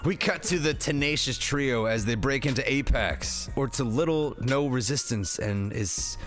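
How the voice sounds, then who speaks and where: A man's voice: medium pitch, moderate pace, fairly bright tone, soft background music. A man hosting a comedy movie review, recapping in a slangy, sarcastic, profane style with jokey banter.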